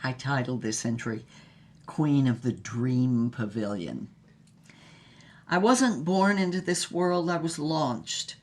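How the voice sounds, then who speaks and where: A flamboyant actress of an audio drama, reading her diary aloud. Her delivery is very slow and measured, with florid, savored phrasing and a grand, rapturous temper. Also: very deep voice, animated, a little mellow, exaggerated voice.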